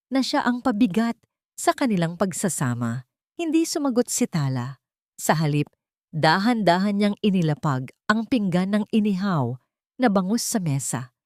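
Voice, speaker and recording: A woman's voice: on the low side, a little mellow, no echo, studio quality. A woman reading a scripted, dramatic love story as its narrator.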